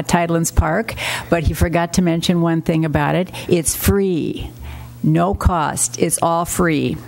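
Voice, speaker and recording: A woman in her sixties, a city council member, giving plain, measured announcements into a desk microphone in a council chamber. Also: fairly low pitch, vocal fry. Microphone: desk gooseneck mic.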